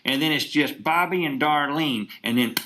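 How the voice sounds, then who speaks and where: A man's voice: a little high, sharp tone. A man playing an earnest, salesy small-time magician on a comic prank call, rambling along in a folksy way. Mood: salesy, eager. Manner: folksy, rambling, halting storytelling. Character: earnest, salesy small-time stage magician boasting of his rabbit act. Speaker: prank caller, show host.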